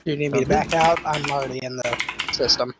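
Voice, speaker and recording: Higher-pitched man, noisy mic. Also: fairly even intonation, fast talker. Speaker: player in a tabletop game. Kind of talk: casual chat. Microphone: online voice chat. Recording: background noise with clicks.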